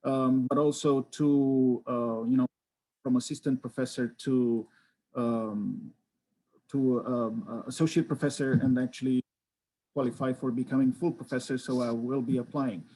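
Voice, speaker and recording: A man from Romania, an associate professor, introducing himself from home on a video call in a measured way with frequent fillers. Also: mid-range voice, fairly even intonation, dark tone, rough recording.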